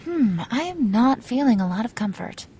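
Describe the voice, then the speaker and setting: A woman's voice: on the high side, very animated, normal speed, dark tone, slight background noise. An actress playing an uneasy, skeptical young woman in an audio drama. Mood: nervous.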